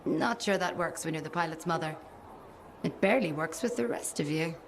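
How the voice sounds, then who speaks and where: An actress playing a caring, practical mechanic and mother, conversational and gently wry, in video game dialogue. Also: low voice, brisk, slight background noise. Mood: fond, wry.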